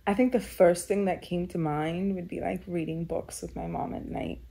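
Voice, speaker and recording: A woman's voice: lowish voice, steady tone, normal speed, gravelly, dark tone, slightly rough. A young woman from Kenya, telling her personal story in a reflective, conversational way with many filler words. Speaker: storyteller guest.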